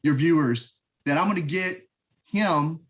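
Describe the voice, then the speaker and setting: A man's voice: a little high, unhurried. A middle-aged American man, a military veteran, talking about his upbringing in a casual interview, earnest and rambling with many restarts. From United States. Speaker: podcast guest, veteran. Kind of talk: casual conversation.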